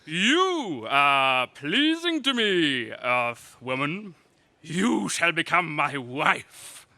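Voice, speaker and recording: A man playing a cruel, imperious alien emperor in a 1930s radio serial style, with a menacing, pompous, drawn-out delivery. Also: a little high, big pitch swings, pushed and bright, dry recording, full character voice. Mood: gloating menace.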